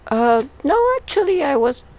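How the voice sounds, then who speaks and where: A woman from Taiwan speaking as an interview guest in casual conversation, with halting, pause-filled non-native English. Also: high voice, lively intonation, darkish tone. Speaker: interview guest, media artist.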